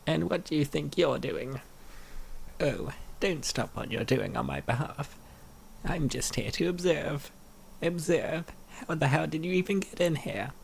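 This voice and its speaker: A man reads out comic dialogue in character. His voice is heavily fried and gravelly, set a little higher than most men's. Faint noise sits behind the recording. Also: lively intonation, measured pace. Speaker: comic dub voice actor. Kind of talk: acted reading of comic dialogue.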